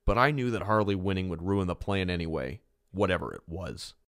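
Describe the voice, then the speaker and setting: A man's voice: low voice, expressive, a little fast, fairly bright tone, smooth. A man playing an earnest, brooding teenage boy detective in an audio drama, serious, polite and understated. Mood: matter-of-fact.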